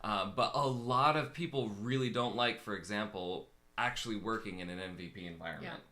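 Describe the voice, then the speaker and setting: A man's voice: fairly low pitch, a little fast, sharp tone. A man in his thirties co-hosting a casual live talk, thoughtful and hesitant, with frequent fillers and restarts. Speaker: co-host and coach. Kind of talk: casual conversation.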